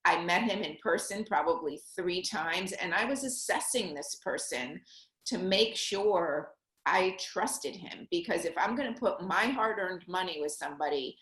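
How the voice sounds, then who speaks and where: A middle-aged American woman talks about money and her past in an earnest, personal way, her voice sitting a little low. She speaks in quick bursts, and the room around her rings with echo. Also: animated, a bit bright. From Connecticut, USA. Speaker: guest, money coach. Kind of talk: conversational interview.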